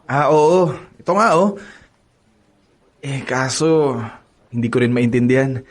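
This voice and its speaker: Actor doing a cocky young college heartthrob, smug, teasing, radio drama, mid-pitched voice. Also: male voice, a little slow, close to an everyday voice. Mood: playful. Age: young adult. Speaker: narrator and lead character.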